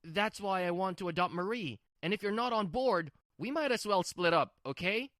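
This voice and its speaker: A woman's voice: mid-range voice, expressive, normal speed, a bit bright, dry recording, studio quality, lightly acted. A woman voicing a stubborn, brusque husband who dotes on his little sister, in a scripted manga dub. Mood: insistent. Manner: brusque, defensive.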